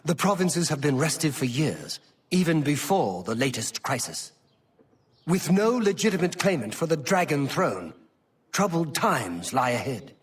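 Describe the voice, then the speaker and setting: An actor playing an earnest, formal imperial chancellor in a fantasy game, with declamatory and emphatic delivery. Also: male voice, on the high side, very animated, measured pace, recorded from a distance. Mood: concerned. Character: earnest imperial high chancellor, formal, stately, concerned statesman. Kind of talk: dramatic fantasy game acting.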